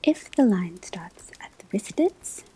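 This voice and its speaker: A woman playing a calm, scholarly professor at a witch school, whispering a lecture in a measured, deliberate ASMR roleplay. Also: mid-range voice, full character voice. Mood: calm, instructive. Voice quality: breathy, soft whisper. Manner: measured, deliberate phrasing with frequent pauses. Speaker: ASMR performer playing a teacher.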